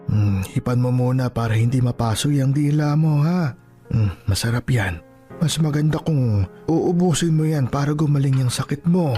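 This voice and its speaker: A man's voice: mid-range voice, moderate pace, dark tone, soft background music, close to an everyday voice. A man playing a poor, exasperated, tearful old grandfather in a Tagalog radio drama, with a Filipino accent and an emotional way of scolding and pleading. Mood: coaxing. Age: older.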